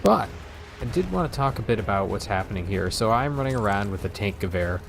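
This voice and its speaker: A man's voice: lowish voice, animated, fairly quick, vocal fry, over music. A man hosting a let's play, chatting casually as he comments on gameplay. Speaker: host of a video game let's play.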